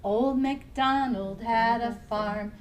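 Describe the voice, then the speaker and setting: A woman children's librarian leading a family storytime, singing nursery songs in English and simple Spanish and gently encouraging young children to join in. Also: fairly high pitch, slow, a crowd in the background. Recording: somewhat distant from the mic, faint music.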